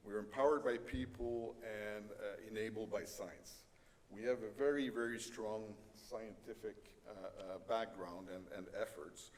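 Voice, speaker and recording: A middle-aged man with a French Canadian accent gives a conference presentation in a halting way, with many fillers and light self-deprecating humor. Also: on the low side, steady tone. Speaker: conference speaker. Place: conference hall. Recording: reverberant conference hall with faint noise.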